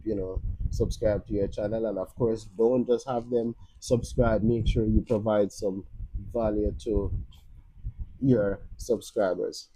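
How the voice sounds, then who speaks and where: A man's voice: on the low side, dark tone, husky, dry recording, rough recording. A man with a Jamaican accent giving casual, rambling advice on a live stream, often restarting and filling with "uh" and "you know". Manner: rambling, many restarts and fillers. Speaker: livestream host.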